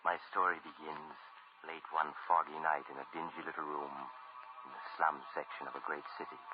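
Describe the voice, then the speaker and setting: An actor playing a sinister, genteel traveler who narrates a 1940s radio drama in an ominous, insinuating, unhurried manner. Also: male voice, very deep voice, level tone. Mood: ominous, inviting. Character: sinister, genteel male mysterious traveler storyteller. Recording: muffled 1940s radio recording. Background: music.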